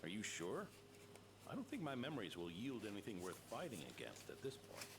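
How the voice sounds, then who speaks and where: A man's voice: lowish voice, sing-song, fairly quick. An actor playing an older male knight with a dry, reassuring manner. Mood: steady. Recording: streamed game audio with noise.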